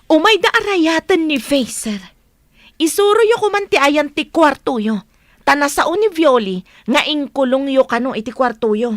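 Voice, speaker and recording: A woman's voice: sits high, normal speed, no echo, close to an everyday voice. A woman playing a worried, insistent rescuer in a comedy radio drama. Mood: demanding.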